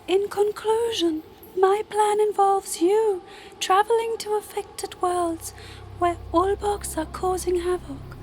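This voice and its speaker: A woman voicing an ancient, self-righteous celestial sister in an audio drama, with a formal, measured, lecturing manner. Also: extremely high pitch, fairly even intonation, a little mellow, a little hiss or hum. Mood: grave, explanatory.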